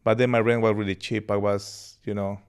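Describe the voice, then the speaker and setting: A man in his thirties from Colombia with a Colombian accent and Spanish as his first language, telling his life story as a podcast guest in a relaxed, rambling way. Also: on the low side, brisk, smooth. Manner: relaxed, laughs easily, rambling anecdotes. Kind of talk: casual interview storytelling.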